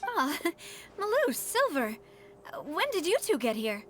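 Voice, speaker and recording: A woman playing a poised, determined young lady leader in a video game, expressive and articulate. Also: high-pitched, slow pace, full character voice. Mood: resolute.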